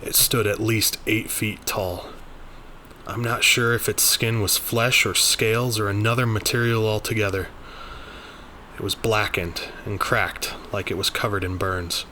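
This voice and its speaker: Low, bright-edged man narrating horror. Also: steady tone, a little slow, a little hiss or hum. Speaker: story narrator. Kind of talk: scripted first-person horror narration.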